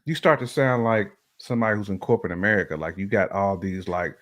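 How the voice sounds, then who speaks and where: A man with a deep, low voice talks in a relaxed conversational style. He makes his points emphatically, circling back on phrases to drive them home as a guest on a podcast. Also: quick pace. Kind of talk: casual conversational opinion talk.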